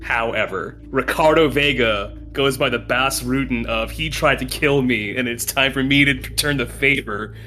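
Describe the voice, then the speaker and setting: A man's voice: medium pitch, moderate pace, pushed and bright, faint music behind. A man chatting casually as a player in a tabletop game, punchy and informal.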